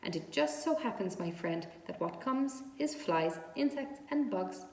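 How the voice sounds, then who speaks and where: A woman's voice: medium pitch, expressive, unhurried, distant mic. Storybook spider, female, acted by a woman: genteel, frank, matter-of-fact, thin pleasant tone, echoing room. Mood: frank, firm. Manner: matter-of-fact, precise, unapologetic.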